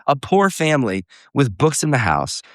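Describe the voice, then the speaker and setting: A man talks to a room of parents at a live workshop, earnest and reflective as he builds his point with quotes and small asides. His voice sits in a middle pitch. The sound is dry, with little room echo. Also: huge pitch swings, moderate pace. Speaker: homeschool workshop speaker.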